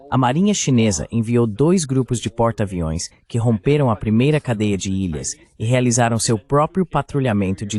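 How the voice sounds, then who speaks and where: A man's voice: medium pitch, a little mellow. A man giving explanatory geopolitical commentary as a guest on a discussion panel.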